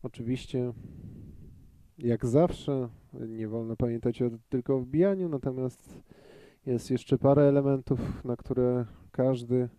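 A man giving live pool commentary in a measured way, thinking aloud with frequent hesitations. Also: medium pitch, steady tone, warm tone, dry recording.

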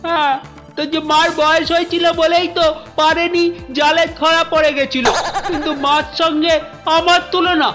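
Very high-pitched cocky young turtle. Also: female voice, level tone, normal speed, a bit bright. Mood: sulky. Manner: defiant, dismissive, grumbling. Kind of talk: children's cartoon dubbing. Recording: music behind the voice.

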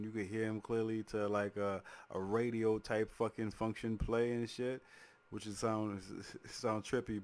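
A man's voice: lowish voice, a little mellow, clean tone. A man in his forties from Haiti, talking casually into a studio mic at home as a music reaction host, hyped and swearing freely, with a rap track playing behind him. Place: small home studio indoors. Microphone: large studio mic on boom arm, wearing headphones.

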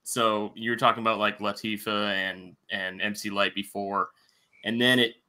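A man's voice: lowish voice, flat delivery, moderate pace, bright, forceful tone. A man on a video call asking a curious question in a careful, hedging way. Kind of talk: casual video call chat.

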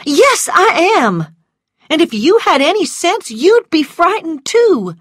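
Woman acting a high-voiced, headstrong young Southern woman. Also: big pitch swings, takes it slowly, no echo, clean studio sound, exaggerated voice. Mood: frightened, angry. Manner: emphatic, emotional.

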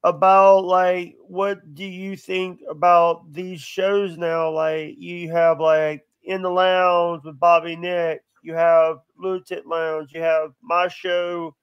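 Male podcast host, high clear voice, stutters, chatting casually. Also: flat delivery, slow, few pauses, no room sound, highs cut off.

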